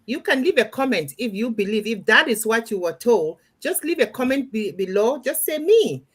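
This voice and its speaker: A woman's voice: on the high side, very animated, normal speed, breathy edge. Chatty woman hosting a live panel. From Cameroon. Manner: chatty, warm asides to viewers. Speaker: host of a live panel show.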